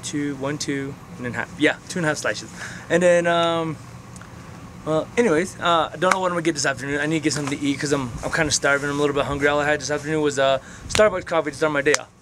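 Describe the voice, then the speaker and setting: A man's voice: fairly high pitch, steady tone, fast talker, a bit bright, a little hiss or hum. A man in his twenties talking casually to his camera outdoors, rambling and frank, swearing now and then. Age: 20s. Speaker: vlogger. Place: outdoors on open flat ground. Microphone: camera mic, close framing.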